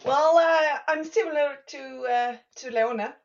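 A woman's voice: high voice, unhurried, recorded from a distance, highs cut off. A middle-aged woman from Sweden, telling a story in an easygoing way in a casual interview.

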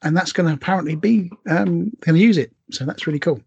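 A man's voice: fairly high pitch, fast, vocal fry, darkish tone, slightly rough, no room sound, muffled recording. A man co-hosting a podcast in casual conversation, chatty, with frequent asides and tag questions. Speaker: podcast co-host.

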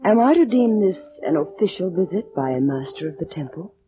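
A woman's voice: on the low side, big pitch swings, brisk, slight background noise. A woman acting a proud, passionate ancient Egyptian noblewoman in love, with a poised, formal old radio drama delivery. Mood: coy, teasing. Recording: dull old radio recording, faint music.

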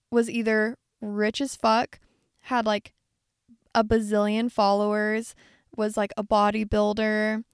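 A woman in her twenties hosting a podcast alone, chatting in an effusive, rambling way, her voice a bit raspy. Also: a little high, no echo, studio quality. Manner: chatty, effusive, lots of likes and little laughs. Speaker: podcast host. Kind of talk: casual solo podcast monologue.

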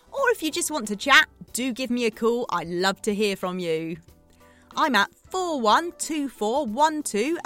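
A woman's voice: on the high side, a bit bright. A woman playing a chatty, needy late-night radio DJ in a scripted drama, playful and theatrical, coaxing her listeners. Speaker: radio host.

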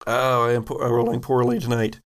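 A man acting as game master, narrating a tabletop roleplaying game with halting, improvised descriptions. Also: medium pitch, little pitch movement, background noise.

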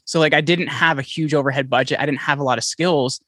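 Fast-talking, bright-voiced man. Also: on the high side, dry recording, clean studio sound. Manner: fast, animated storytelling with many restarts. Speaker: podcast guest. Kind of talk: conversational interview. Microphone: podcast microphone, remote call.

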